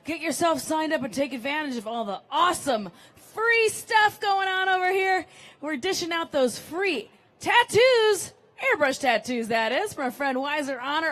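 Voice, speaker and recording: A woman announcer giving an upbeat live sales pitch on an expo show floor. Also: lively intonation, moderate pace, fairly bright tone. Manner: bubbly, upbeat hard sell. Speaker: promo announcer.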